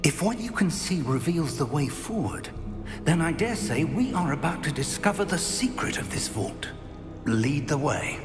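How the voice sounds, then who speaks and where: An actor playing an older wizard professor in a video game, a measured and wary mentor with a British accent. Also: male voice, a little high, big pitch swings, music in the background. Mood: intrigued.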